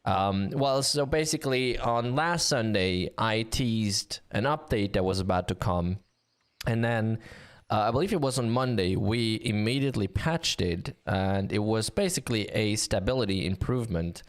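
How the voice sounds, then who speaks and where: Male stream host, sore throat, lowish pitch, chatty and upbeat. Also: moderate pace. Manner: chatty, upbeat, many fillers. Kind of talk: live-stream commentary.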